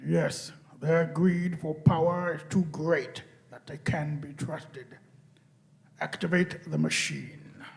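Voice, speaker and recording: A cold alien machine commander played by a man in a sci-fi radio play. The voice is slow, fairly high and gravelly, grating out each imperious order deliberately. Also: fairly even intonation. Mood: commanding.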